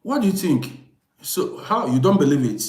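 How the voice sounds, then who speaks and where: A man's voice: fairly high pitch, big pitch swings, moderate pace, a little mellow. Emphatic man in his late fifties, echoey room. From Vienna, Austria. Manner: emphatic, repeats phrases insistently. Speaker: live-stream host and storyteller. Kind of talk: informal livestream talk to viewers.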